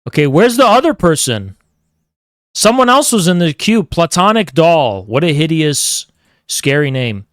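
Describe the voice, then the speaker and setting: A man's voice: fairly high pitch, big pitch swings, measured pace, dry recording. Dry-voiced rambling male streamer. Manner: rambling, opinionated, teasing chat. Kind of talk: casual live-stream talk with chat.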